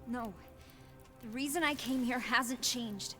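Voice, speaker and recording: A woman's voice: a little high, slow pace, pushed and bright. An actress playing a distressed but determined young woman in a horror game, strained and exasperated, with music behind.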